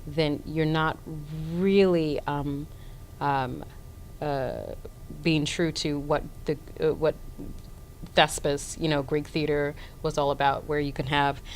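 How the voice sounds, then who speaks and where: A woman actor with a very low voice answers interview questions thoughtfully, pausing often with fillers before pressing her point. A faint rumble sits under the recording. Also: fairly even intonation. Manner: thoughtful, many fillers, grows emphatic. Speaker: actor guest. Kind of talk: casual interview.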